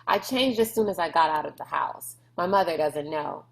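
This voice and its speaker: A woman's voice: low voice, moderate pace, creaky, poor audio. A woman voicing a headstrong, bossy young girl in a storybook, insistent and emphatic. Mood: eager.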